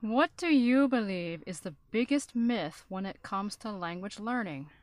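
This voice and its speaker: A woman playing an interviewer in a scripted listening-test dialogue, reading in a measured, clear way. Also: medium pitch, lively intonation, no echo.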